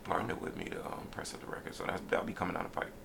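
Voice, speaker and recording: A man from Brooklyn, a rapper as a guest, talking casually in a laid-back, meandering way with many pauses. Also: background noise. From Brooklyn, New York. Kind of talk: casual interview chat.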